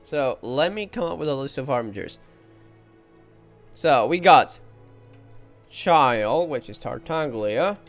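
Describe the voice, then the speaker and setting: A man's voice: mid-range voice, animated, a little slow, pauses often. A man giving casual playthrough commentary on a video game, informally thinking aloud. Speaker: gaming video maker reacting to a game. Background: soft game music.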